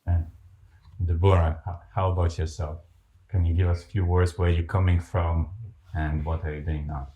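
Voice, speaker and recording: A man's voice: sits low, little pitch movement, normal speed, warm tone, poor audio. A man hosting a podcast interview, asking his guests friendly, open questions. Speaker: podcast host.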